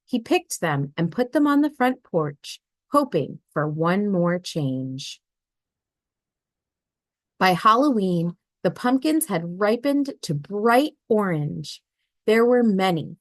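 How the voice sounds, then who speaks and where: A woman reads a children's picture book at an unhurried pace. Her voice rises and falls in a lively way. The sound is dry and close to the mic. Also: medium pitch, no echo. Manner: expressive, storybook tone. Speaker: read-aloud narrator.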